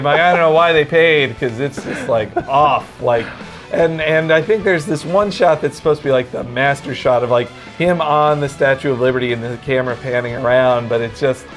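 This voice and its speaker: A young man giving casual, conversational commentary as a video game pundit. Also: mid-range voice.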